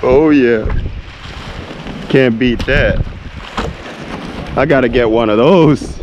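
A man's voice: medium pitch, slow, dull audio. Hyped guy talking to his viewers while he fishes off a pier, heavy wind roaring on the cam mic. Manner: excited, chatty, addresses viewers as guys. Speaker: fishing vlogger. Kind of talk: casual running commentary. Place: outdoor fishing pier by the sea. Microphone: body-worn action camera. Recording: loud wind noise on an outdoor camera mic. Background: wind and traffic noise.

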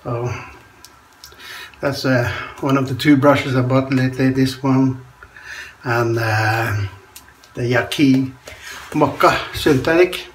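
A man's voice: medium pitch, steady tone, slow pace, long pauses, slight background noise, low-quality recording. Norwegian man chatting while shaving. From Norway. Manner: rambling, chuckles often between remarks. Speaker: shaving vlogger. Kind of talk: casual chat while shaving. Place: small tiled bathroom indoors. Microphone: camera in front at close range.

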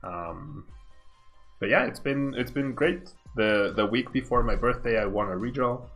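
A man's voice: medium pitch, expressive, a little slow, fairly bright tone, soft background music. A man of thirty-one doing casual, slangy livestream game commentary, laid-back and often repeating his phrases. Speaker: game streamer.

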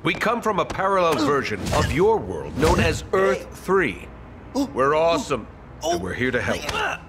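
An actor voicing a boastful male superhero with a booming, pompous heroic delivery in a video game. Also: big pitch swings, measured pace, background noise. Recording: game soundtrack music.

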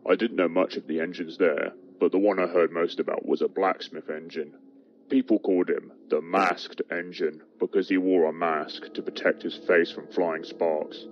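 Male actor as grim talking engine for horror game; very deep, deliberate, ominous; noisy recording. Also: fairly even intonation, muffled recording, close to an everyday voice. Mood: ominous storytelling. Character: grim older steam engine telling a dark tale. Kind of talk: slow dramatic horror storytelling.

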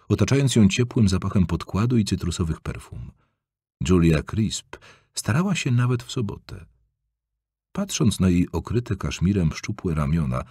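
A man reading an audiobook aloud in an expressive, varied storytelling manner, close to a studio mic. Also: sits low, breathy edge, dry recording. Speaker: audiobook narrator. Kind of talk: scripted audiobook narration.

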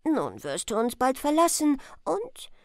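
A kindly storybook dwarf leader, read by a woman, speaks with reassuring calm. The pitch moves freely and the sound is dry and close. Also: unhurried, no echo, heavily acted.

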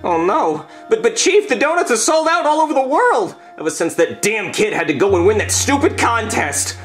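Casting: male performer as hapless puppet-comedy policeman, stammering, pleading, widely swinging pitch, faint music behind. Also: very animated, moderate pace, fairly bright tone. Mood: panicked. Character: excitable, hapless, pleading male cop, easily flustered.

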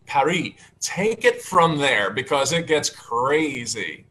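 A man's voice: medium pitch, very animated, slow, sharp tone, rough recording. A man hosting a casual video call talk show from a home study with bookshelves, upbeat and chatty with plenty of fillers. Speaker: host and candidate. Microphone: video call webcam.

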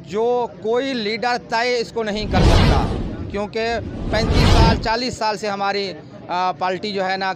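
A middle-aged man, a party official, answering earnestly in an interview on a handheld microphone. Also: high voice. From Pakistan. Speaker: party clerics' wing president being interviewed. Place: busy tented hall, handheld microphone. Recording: noisy event, handheld news microphone.

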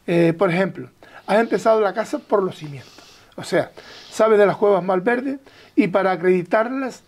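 A woman's voice: medium pitch, normal speed, darkish tone. A woman hosting a conversational interview, speaking in short broken-up phrases. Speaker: interviewer, show host.

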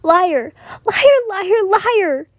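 A woman acts out a little boy in an audio story, his voice pitched way up high. He is clingy and desperate, sobbing and stammering as he pleads and wails. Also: animated, drawn-out words, no echo, studio quality, exaggerated voice. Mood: angry sobbing. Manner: stammering, wailing pleas.

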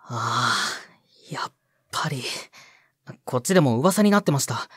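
Nervous young sound engineer, animated pitch. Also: male voice, unhurried, husky, dry recording, studio quality, full character voice. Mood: hesitant, worried. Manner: hesitant, polite. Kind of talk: Japanese voice drama acting.

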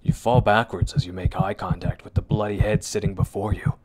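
A man's voice: fairly low pitch, brisk, creaky, heavy background noise. A man narrating a scripted horror story in a dramatic, suspenseful radio drama manner. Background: music.

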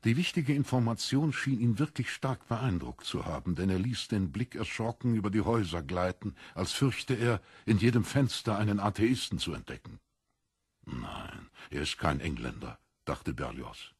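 A man's voice: fairly low pitch, animated, moderate pace, slightly rough, dry recording, clean studio sound. A man narrating a literary story expressively, as in an audiobook.

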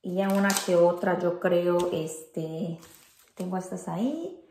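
A woman's voice: fairly low pitch, takes it slowly, noisy background. A woman chatting casually at home as she shows her home decorating, using lots of diminutives and fillers. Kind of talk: casual how-to chat. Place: at home indoors.

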